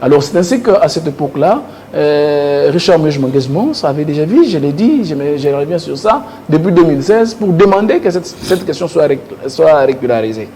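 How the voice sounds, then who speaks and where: A man's voice: a little high, animated, moderate pace, hardly any pauses, mellow. A man with a Congolese accent giving political commentary as a panelist, repeating words as he searches for phrasing. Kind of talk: political debate commentary in French. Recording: clearly noisy studio recording.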